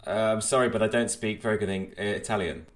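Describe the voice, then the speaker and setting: A man's voice: on the low side, fairly even intonation, fast talker. A man co-hosting a podcast, making jokey remarks in casual banter over faint noise and clicks. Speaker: podcast co-host.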